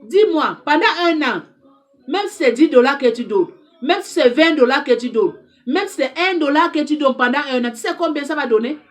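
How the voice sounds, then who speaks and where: A woman's voice: high-pitched, animated, brisk. A woman speaking French as a second language, giving an impassioned direct-to-camera address as an advice counselor, emphatic and repetitive as she exhorts her listeners. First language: not French.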